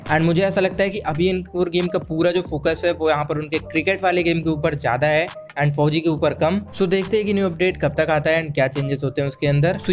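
A man from India with an Indian accent hosts a casual gaming news voice-over, mixing in English words as he goes. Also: a little high. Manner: code-switches between Hindi and English. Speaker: gaming channel host. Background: background music.

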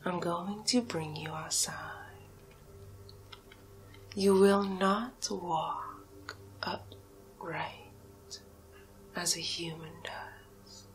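A commanding alien cow hypnotist, played by a woman, whispers in a lowish, breathy female voice. She speaks extremely slowly and deliberately, coaxing like an ASMR roleplay captor. Music plays behind her. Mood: hypnotic, commanding.

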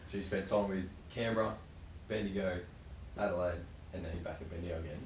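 A man co-hosts a casual podcast interview, asking quick, upbeat questions. His voice is low. The mic is distant in a roomy space with noise underneath. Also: steady tone. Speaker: podcast co-host. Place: small podcast lounge room, indoors. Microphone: studio desk mic with headphones. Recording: roomy, distant-miked, noisy room.

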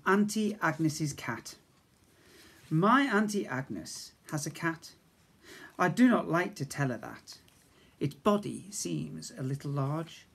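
Expressive British man reading children's rhymes. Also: a little high, husky. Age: 50s. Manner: expressive, deliberate verse reading with chatty asides. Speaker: infant school teacher. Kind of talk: reading comic rhymes aloud to young children. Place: small indoor school office. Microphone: device camera at arm's length.

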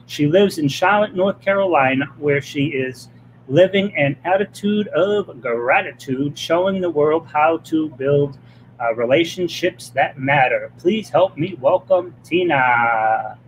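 A man's voice: fairly high pitch, a little slow, a little hiss or hum, low-quality recording. A middle-aged man with a Boston accent hosting a live-stream interview show, chatty and jokey, speaking over a webcam from a home office. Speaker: host. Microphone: webcam on video call.

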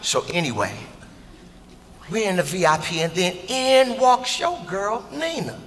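An actor playing a husband confessing a story to his wife, animated and playful, recorded live on a large theater stage. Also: sits high, unhurried, a little hiss or hum, heavily acted. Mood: storytelling. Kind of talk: live stage play acting. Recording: reverberant theater hall.

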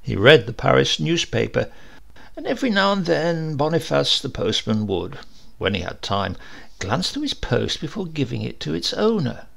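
A man reading a literary short story aloud as an audiobook narrator, in an expressive, measured storytelling manner. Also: mid-range voice, gravelly.

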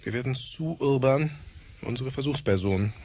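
A man playing a scientist who leads an experiment, explaining in a measured, formal way in a radio drama. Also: medium pitch, darkish tone, natural voice. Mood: measured, explanatory. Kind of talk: German radio drama acting. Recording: clear background noise.

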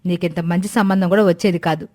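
A woman's voice: fairly low pitch, steady tone, fast talker, warm tone, natural voice. A woman voicing a stern, plain-spoken middle-aged mother-in-law in a family story, reproachful in manner. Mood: scolding. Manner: reproachful, scolding.

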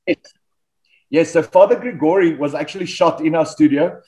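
A man's voice: a little high, normal speed. A man in his thirties with a South African accent, an Afrikaans speaker, chatting relaxedly about his band over a video call. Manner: relaxed storytelling, frequent ums and restarts. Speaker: metal band vocalist, guest. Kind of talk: casual video-call interview. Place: home studio with band posters. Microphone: desk microphone on video call.

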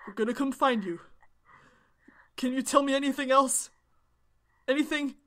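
A woman's voice: high-pitched, normal speed, natural voice. A woman voicing a protective, worried eighteen-year-old older brother in an emotional fan audio drama. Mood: urgent, reassuring. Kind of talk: angst-heavy fan audio drama acting. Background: background music.

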